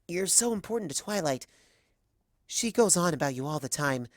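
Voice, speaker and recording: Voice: medium pitch, animated, normal speed, dry recording, clean studio sound, voice pushed up, close to an everyday voice. A performer voicing a young female pony, nervous yet brave, who speaks in an earnest, hesitant, gushing way in a story reading. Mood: earnest, determined.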